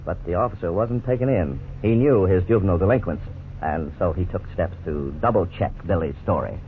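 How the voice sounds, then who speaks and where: A man playing an authoritative lawyer who narrates a case history in an earnest, measured 1950s radio style. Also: low voice, close to an everyday voice. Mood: earnest, explanatory. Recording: tinny, noisy 1950s radio recording.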